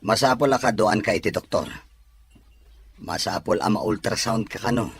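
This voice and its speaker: A Filipino man playing a wealthy, protective young expectant father in an audio drama, with a raspy voice. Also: lowish voice, normal speed. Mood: caring.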